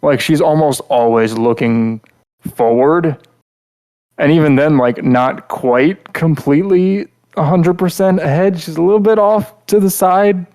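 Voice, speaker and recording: A man co-hosting a podcast, talking through a casual critique in a rambling, halting way and often repeating words mid-thought. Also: a little high, darkish tone. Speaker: podcast host reviewing game characters.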